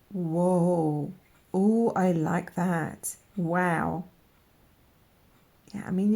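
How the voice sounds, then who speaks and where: A woman with a croaky voice from a cold, slowly musing aloud in a soft-spoken way as she tries out paints, sometimes dropping to a whisper. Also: on the low side. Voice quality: croaky, gravelly. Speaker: art vlogger. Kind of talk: casual voice-over while swatching paints.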